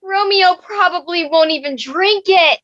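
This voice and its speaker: A woman's voice: very high voice, unhurried, fairly bright tone. A woman in a comedic play reading, playing a frustrated young girl who complains theatrically, on a roomy, muffled recording. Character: frustrated young Juliet, grumbling amateur poisoner.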